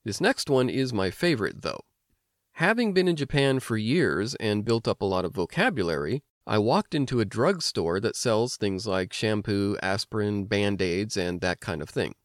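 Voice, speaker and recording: An American man hosting an instructional language podcast, explaining things clearly like a teacher. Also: lowish voice, very animated, normal speed, no echo, clean studio sound. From United States. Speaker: language lesson host and teacher. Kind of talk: instructional podcast narration with personal anecdotes.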